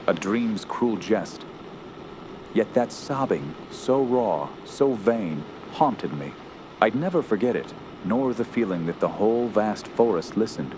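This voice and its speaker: An actor playing a fearful, haunted camper, narrating a horror tale in a solemn, measured and dramatic way. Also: male voice, fairly low pitch, noisy background. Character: haunted, fearful male wilderness camper telling his tale. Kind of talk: scripted first-person horror narration.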